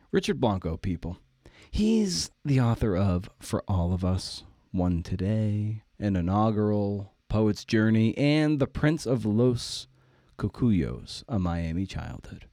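A man's voice: lowish voice, warm tone, no room sound, clean studio sound. A man hosting a podcast, chatting casually through an introduction in an irreverent, slangy, self-deprecating way. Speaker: podcast host.

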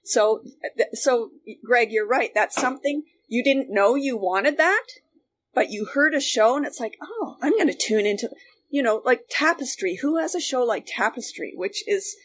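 A woman's voice: a little high, lively intonation, normal speed, fairly bright tone, slight background noise. A Canadian woman reminiscing casually as a guest, chatty and emphatic, rambling from one thought to the next. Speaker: guest, former radio worker.

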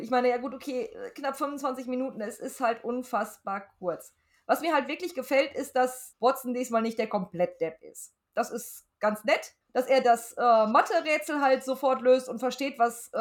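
Woman, higher-pitched voice, podcast co-host, informal review chat, colloquial, emphatic phrasing. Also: moderate pace. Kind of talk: casual audio drama review chat.

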